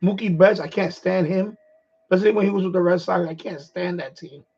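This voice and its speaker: A middle-aged man from New York with a New York accent, hoarse, chatting casually on his livestream and restarting his phrases as an eager sports fan. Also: high-pitched, fairly even intonation, a little fast, darkish tone. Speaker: livestream host. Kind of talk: casual rambling chat. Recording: dull lo-fi stream audio.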